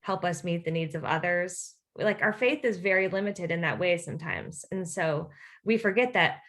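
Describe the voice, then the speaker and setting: A woman's voice: fairly low pitch, moderate pace. A woman podcast host chatting casually about faith and self-help, acting out imagined quotes as she talks. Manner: animated, acts out imagined quotes.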